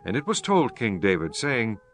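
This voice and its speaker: Lowish male scripture narrator. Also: huge pitch swings. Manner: formal, measured. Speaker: audiobook narrator. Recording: music behind the voice.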